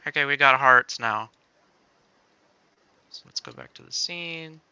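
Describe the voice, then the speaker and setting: A man's voice: mid-range voice, a little slow, bright, forceful tone, clean tone, no echo, muffled recording. A man chatting casually as he co-hosts a demo livestream as a game developer.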